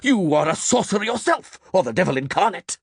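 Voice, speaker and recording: A man's voice: high voice, animated, a little slow, breathy edge, no room sound, studio quality, pitched above the performer's own voice, full character voice. A man playing a fanatical, easily rattled village priest in a fantasy audiobook, with an overwrought, unsteady delivery. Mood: furious fanaticism. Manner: bellowing, shrieking, stammering when rattled. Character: fanatical, frightened, shrieking male village priest.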